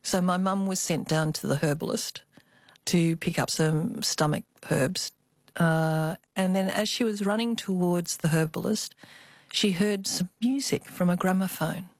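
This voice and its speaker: An older woman with an Australian accent, telling personal memories as an interview guest in a thoughtful, expressive way. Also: lowish voice, unhurried, dry recording, studio quality. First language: Cantonese. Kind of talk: reflective personal storytelling.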